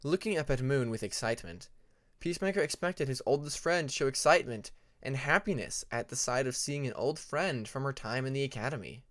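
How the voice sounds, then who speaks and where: A man narrating a fantasy story as an audiobook, with expressive scripted delivery. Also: medium pitch, brisk, no room sound, studio quality. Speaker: audiobook narrator of fan fiction.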